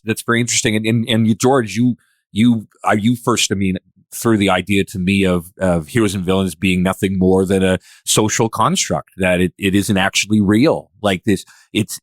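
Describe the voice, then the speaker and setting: A man's voice: low-pitched, dry recording. A man hosting a casual podcast discussion, reflective and hesitant, often rephrasing as he goes. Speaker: podcast host.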